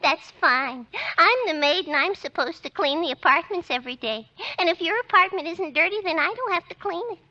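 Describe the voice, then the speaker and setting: Very high-pitched fussy radio maid. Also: female voice, animated, a little fast, few pauses, pushed and bright, heavily acted. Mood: indignant. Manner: long-winded, earnest chains of complaint. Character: fussy, literal-minded, rambling female hotel maid. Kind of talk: 1940s radio comedy acting. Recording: noisy, muffled old radio recording, clicks.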